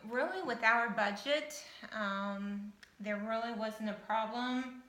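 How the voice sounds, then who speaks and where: A woman's voice: a little high, slow pace, a bit bright, a little hiss or hum. An American woman of fifty chatting casually and informally, as if introducing herself for an audition. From Southern Ohio, United States. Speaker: house-hunting show applicant. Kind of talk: casual interview-style chat to camera. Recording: echoey room.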